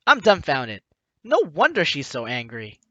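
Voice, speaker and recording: A woman's voice: low voice, wildly swooping pitch, unhurried, sharp tone, no echo, dull audio. A woman hosting a gaming video, reading game text aloud with playful, theatrical asides and casual commentary.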